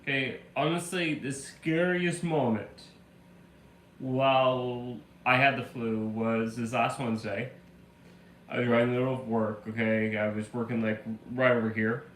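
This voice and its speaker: A man's voice: mid-range voice, fairly even intonation, a little slow, a bit bright. A man live streaming who is getting over the flu and still has a slight cough. He chats casually, rambling through a story with lots of fillers. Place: room at home. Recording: echoey room with faint ambient hum.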